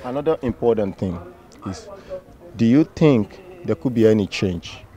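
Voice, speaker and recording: A man interviewing people at an outdoor protest, asking halting questions. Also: lowish voice, lively intonation, warm tone, highs cut off. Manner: halting questions in non-native German and English.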